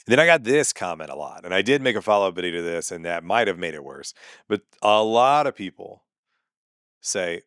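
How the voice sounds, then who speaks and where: Man, low voice, sarcastic rant, dry close sound. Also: big pitch swings, a little fast, a bit bright, clear, resonant tone, no echo. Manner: exasperated, sarcastic ranting. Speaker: comedian and podcast host. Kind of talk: casual comedic monologue.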